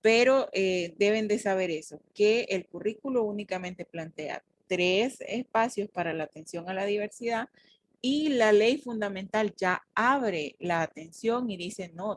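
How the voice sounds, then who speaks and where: Una mujer con acento hondureño que, como docente universitaria, explica y corrige con calma a sus estudiantes. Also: female voice, mid-range voice, moderate pace. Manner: explanatory, corrects and clarifies. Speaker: teacher. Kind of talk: university class discussion.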